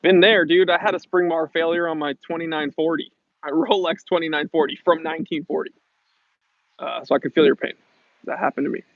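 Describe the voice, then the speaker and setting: A man's voice: on the high side, steady tone, fairly quick, no echo. Casual livestream host: American man, laid-back jokey slang, slightly dull lo-fi audio with little room sound. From New England, USA. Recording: muffled lo-fi audio.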